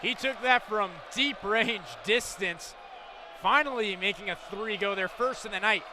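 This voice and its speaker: A man's voice: high voice, unhurried, crowd noise, noisy background. A man giving live analysis as a basketball color commentator.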